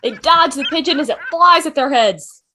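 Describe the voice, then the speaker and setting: Casting: woman narrator for script table read, very high voice, scene-setting delivery. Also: normal speed, pushed and bright. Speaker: stage-direction reader.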